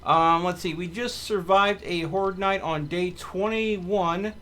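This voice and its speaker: A man's voice: high voice, fairly even intonation, slow pace, sharp tone, a little hiss or hum. A man hosting a gaming channel, giving casual commentary as he plays. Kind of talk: casual live gameplay commentary.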